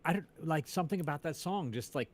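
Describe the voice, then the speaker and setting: A man co-hosting a casual chat, speaking in a loose, halting, informal way. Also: a little high, lively intonation. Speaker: co-host.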